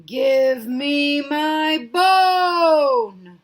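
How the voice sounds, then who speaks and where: A woman voicing an insistent, eerie ghost from a children's storybook, making very slow, drawn-out demands. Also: high voice, faint music behind. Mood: loud, demanding.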